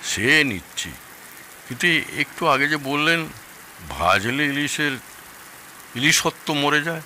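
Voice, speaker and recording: Male actor as teasing in-law guest, very bright voice, animated intonation, conversational, radio play, faint rain noise. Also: fairly high pitch, takes it slowly, exaggerated voice, low-quality recording. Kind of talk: Bengali audio drama acting.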